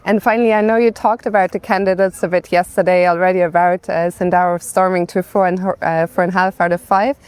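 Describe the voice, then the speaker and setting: A woman's voice: on the low side, fairly even intonation, brisk, few pauses, a little mellow, smooth. A woman interviewing a player after a game, asking questions in a conversational broadcast style. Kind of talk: post-game sports interview.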